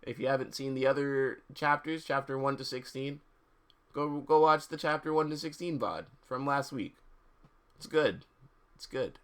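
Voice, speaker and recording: A man's voice: mid-range voice, moderate pace, a bit bright, clean tone. A man hosting a livestream, switching between casual chat and a theatrical, dramatic reading of fan fiction. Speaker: streamer and host reading fan fiction aloud.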